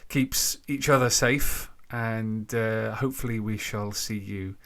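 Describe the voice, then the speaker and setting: A man hosting a podcast, giving an informal introduction in a halting way, thinking aloud between phrases. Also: fairly low pitch, fairly even intonation, a bit bright. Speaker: podcast host.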